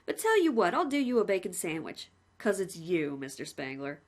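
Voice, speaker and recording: A woman's voice: on the low side, sing-song, moderate pace. A woman voicing a cheerful male prison warden who talks on and on politely, saying sir often. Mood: chatty. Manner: chatty, overly polite, says sir constantly.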